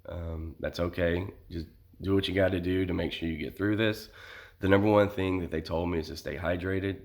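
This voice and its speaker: An American man talking casually in a personal vlog, halting as he searches for words, with a sore throat and a voice starting to give out from illness. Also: deep voice. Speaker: vlogger sharing illness experience.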